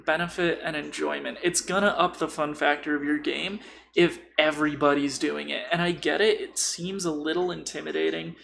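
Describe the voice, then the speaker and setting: A man's voice: a little high, steady tone, moderate pace, gravelly, fairly bright tone, a little hiss or hum. A man chatting casually and explaining tabletop role-playing with acted-out examples, as an experienced game master.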